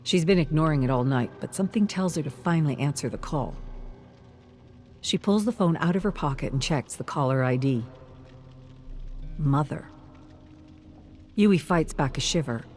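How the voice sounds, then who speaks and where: A narrator reading a dramatic scripted story in a measured, wistful manner. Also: medium pitch, lively intonation, darkish tone, soft background music.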